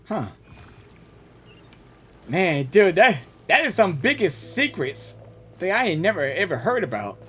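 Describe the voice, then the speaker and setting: Low-pitched woman streamer with swooping intonation. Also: big pitch swings, a bit bright. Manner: repetitive, halting, slangy. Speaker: gaming streamer. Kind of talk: casual live reaction commentary.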